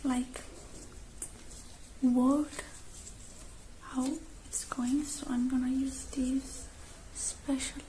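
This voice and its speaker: A woman speaking softly and whispering in an ASMR roleplay, gentle and hushed, drawing out her phrases with pauses. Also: high-pitched, level tone, slight background noise, low-quality recording.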